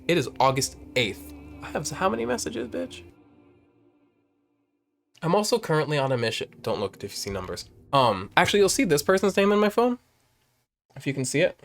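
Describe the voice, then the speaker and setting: A man in his late twenties chatting casually in a vlog, rambling and jumping up in pitch when excited, filmed on a phone at home. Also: fairly quick, creaky, long pauses, faint music behind. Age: 20s. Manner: rambling, animated, swings high when excited. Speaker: vlogger. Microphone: phone camera.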